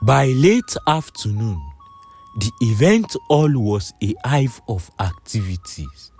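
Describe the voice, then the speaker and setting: A man's voice: mid-range voice, very animated, slow pace, darkish tone, breathy edge, soft background music. A man narrating a scripted folktale in storybook style.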